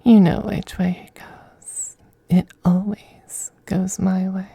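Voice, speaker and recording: A performer voicing a seductive, possessive male femboy vampire in an intimate ASMR roleplay, whispering softly and coaxing in a teasing, gently domineering way. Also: medium pitch, little pitch movement, slow, no echo, exaggerated voice. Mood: seductive, commanding. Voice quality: breathy, soft whispering.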